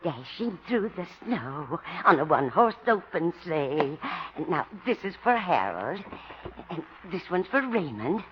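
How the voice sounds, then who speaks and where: An actress playing an older, cheery, doting mother who gently chides, in a 1950s radio comedy. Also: fairly low pitch, sing-song, measured pace. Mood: fond, cheery. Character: cheery, doting elderly mother of grown son. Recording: muffled, hissy 1950s radio recording.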